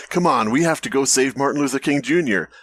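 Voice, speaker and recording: A man's voice: mid-range voice, moderate pace, fairly bright tone, dry recording. A man hosting a comedy podcast with casual banter and a sarcastic, profane way of talking. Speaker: podcast host.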